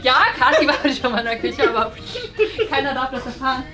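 A woman's voice: high-pitched, fairly even intonation, a little fast. A young woman hosting a prank vlog, talking casually and playfully to camera. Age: young adult. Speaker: prank show host. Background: music.

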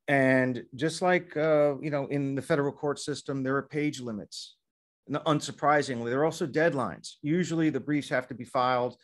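A middle-aged man talks over a laptop webcam from a hotel room, chatty and wandering from topic to topic. His voice sits at an ordinary middle pitch, and he lifts it when he gets going on a joke. The sound is plain video-call audio. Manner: chatty, digressive, animated when joking. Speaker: podcast co-host, sports lawyer. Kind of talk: casual legal podcast chat. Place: hotel room in Midtown Manhattan.

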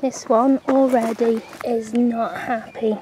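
A woman talks her way around a sunny allotment, slow and unhurried with a mellow, warm tone. Her chat rambles with plenty of ums, recorded on a handheld camera outdoors with a faint hiss behind her. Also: fairly high pitch, steady tone, low-quality recording. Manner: chatty, rambling, frequent ums. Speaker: allotment vlogger. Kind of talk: casual gardening vlog narration. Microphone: handheld camera, speaker off screen.

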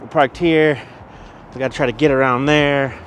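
A man's voice: medium pitch, fairly even intonation, normal speed, a little hiss or hum. A man in his thirties working as a wedding DJ and emcee, talking in an informal, upbeat way. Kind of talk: casual vlog talk and event announcing. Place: wedding venue and outdoor parking lot.

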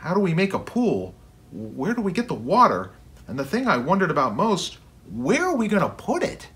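Man reading as earnest, high-pitched young boy. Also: huge pitch swings, moderate pace, fairly bright tone. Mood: curious. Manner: earnest, questioning.

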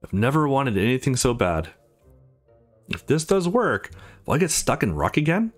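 A man's voice: medium pitch, sing-song, moderate pace. A man voicing an anxious, hot-tempered, desperate young man in a visual novel, over music. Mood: worried. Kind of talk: visual novel dialogue read aloud.

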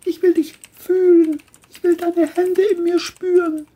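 A woman's voice: very high-pitched, flat delivery, unhurried, mellow, pitched above the performer's own voice. A woman voicing an intense, volatile, seductive and menacing woman in a crime audio play. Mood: seductive, pleading.

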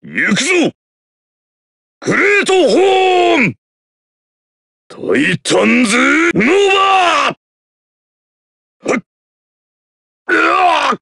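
A man's voice: big pitch swings, very slow, creaky, long pauses, pushed and bright, dry recording, raised voice, clean studio sound, full character voice. A man voicing a burly, hearty, unyielding knight in an anime battle game, bold and roaring out his attacks. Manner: booming, bold, roared attack names.

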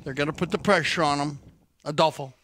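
A man's voice: fairly high pitch, steady tone, fairly quick, a bit bright. A man giving chatty, folksy color commentary on a live polo match.

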